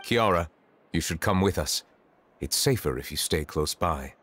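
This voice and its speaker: Deep serious judge type from a game, very formal and careful with words. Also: male voice, lively intonation, moderate pace, fairly bright tone, slight background noise. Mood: grave, analytical. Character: grave, formal, protective male chief judge. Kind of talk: video game character dialogue.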